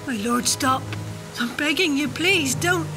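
A woman's voice: sits high, a little slow, over music. An actress playing a loyal servant to a king in an audio drama, urgent and imploring.

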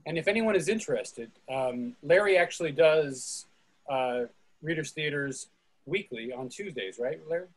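A man with a fairly high, husky voice hosts a casual group discussion. He speaks over a video call, moderating the conversation. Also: animated, moderate pace, poor audio. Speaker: host of an online readers theatre.

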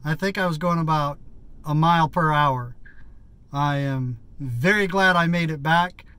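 A man's voice: on the high side, measured pace, bright, forceful tone. A tired man in his fifties chatting in a rambling, casual vlog from inside a parked vehicle, still catching his breath after a bike ride. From United States. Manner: rambling, chatty, self-correcting. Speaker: vlogger. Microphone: phone or action camera at arm's length.

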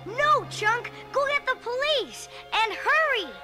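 Determined little kid from an adventure read-along, super high and urgent, music under it. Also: very animated, slow pace, full character voice. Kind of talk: storybook read-along character acting.

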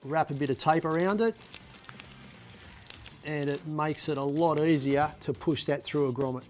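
An Australian man in his fifties walks through a hands-on job in a colloquial, matter-of-fact way. His voice sits slightly higher than most men's, and light music plays underneath. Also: normal speed. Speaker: presenter of DIY car videos. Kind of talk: casual how-to demonstration. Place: garage shed and inside a parked vehicle.

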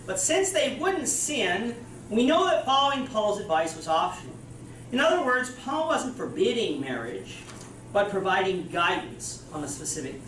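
Young male preacher, lively rising and falling delivery, captured from a distance. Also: high voice, slight background noise. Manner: gestures broadly while preaching, explanatory. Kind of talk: sermon. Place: church meeting room with projector screen. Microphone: far camera. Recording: roomy hall, distant mic.

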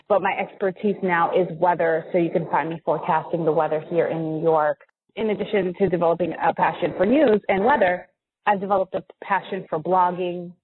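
A woman's voice: fairly low pitch, breathy edge. An American woman in her forties chatting casually as a guest in a podcast interview. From United States. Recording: thin, phone-like line.

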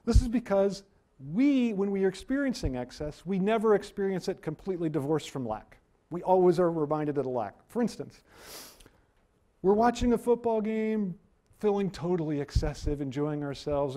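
Animated high-voiced man lecturing. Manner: animated, self-deprecating, gestures a lot. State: losing his voice. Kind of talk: university lecture. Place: wood-paneled room, indoors.

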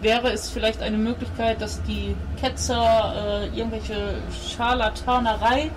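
A woman's voice: a little high, level tone, a little slow, distant mic. A woman player talking casually in character at a tabletop game, polite and somewhat hesitant. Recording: noisy home table recording.

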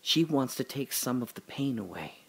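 An actor playing a dying older father, telling his memories in a halting, breathy dramatic monologue that drops to whispers. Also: medium pitch, expressive. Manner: halting, breathy, emotional, many pauses and restarts. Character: dying old father recalling his daughter's birth.

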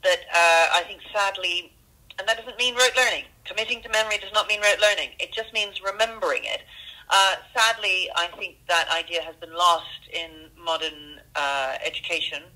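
A woman's voice: on the low side, normal speed, pushed and bright. A woman who grew up in Canada, a school headmistress, talking earnestly in a phone interview with many ums, from a school office. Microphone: telephone. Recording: phone-line audio.

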